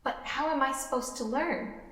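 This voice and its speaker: A woman's voice: high-pitched, moderate pace, far from the mic, heavy background noise, lightly acted. A woman playing an earnest, hesitant female seer in a tabletop fantasy game, polite and tentative as she questions others. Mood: anxious, humble.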